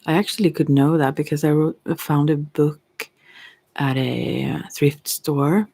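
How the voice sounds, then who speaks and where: Low, creaky Swedish-accented woman, close and dry. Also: steady tone, a little slow, warm tone, dry recording. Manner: rambling, trailing off mid-thought. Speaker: ASMR creator and tarot reader. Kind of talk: unscripted reflective ASMR monologue.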